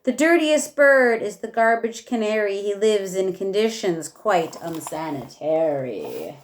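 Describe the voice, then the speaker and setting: Slow-paced woman reading a picture book. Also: medium pitch, big pitch swings. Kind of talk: read-aloud storytelling with casual asides.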